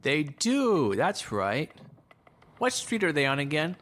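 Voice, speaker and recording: A man's voice: a little high, huge pitch swings, slow, fairly bright tone, exaggerated voice. A man acting a chipper, needling lawyer in an audio drama, breezy, sarcastic and boastful. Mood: probing.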